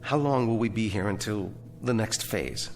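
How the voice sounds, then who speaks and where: An actor playing a restless army major in a radio drama, brusque and insistent. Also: male voice, on the low side, normal speed, soft background music, close to an everyday voice.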